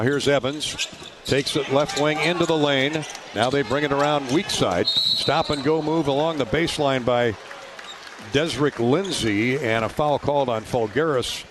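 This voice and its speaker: An American man doing live play-by-play radio commentary of a basketball game. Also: mid-range voice. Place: indoor basketball arena. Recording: clear arena noise behind, ball bounces. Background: game ambience, bouncing balls.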